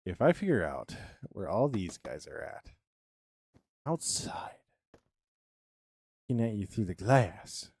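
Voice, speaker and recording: Chatty man in his thirties, lively pitch. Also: slow, long pauses, husky, no room sound. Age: 30s. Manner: chatty self-talk, humming filler syllables and repeating words. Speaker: streamer. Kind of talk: casual live-stream commentary while building in a game.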